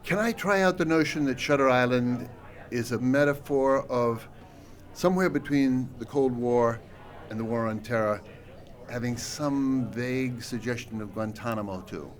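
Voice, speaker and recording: A man's voice: mid-range voice, moderate pace. Male radio host asking thoughtful questions. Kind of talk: radio interview.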